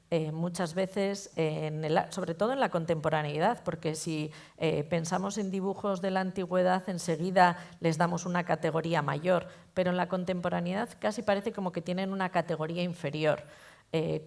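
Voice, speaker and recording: A woman's voice: low-pitched, creaky, darkish tone. A woman museum curator introducing an exhibition talk, explaining in an informal lecturing way. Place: museum auditorium.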